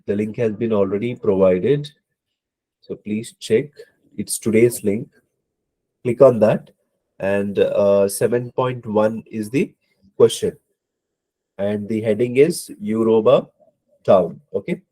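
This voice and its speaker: A man's voice: on the low side, takes it slowly, pauses often, dark tone. A man with a cold teaching an online exam-prep class, hesitant, with many fillers and apologies. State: hoarse from a cold. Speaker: IELTS instructor.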